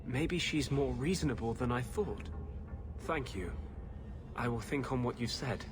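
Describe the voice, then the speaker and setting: An actor playing a shaken, brooding young male mage in a video game, with halting, troubled delivery. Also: mid-range voice, noisy background, natural voice.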